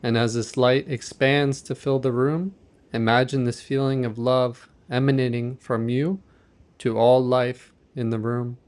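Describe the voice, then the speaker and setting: A man leading a guided meditation in a gentle, measured, softly instructive manner. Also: medium pitch, flat delivery.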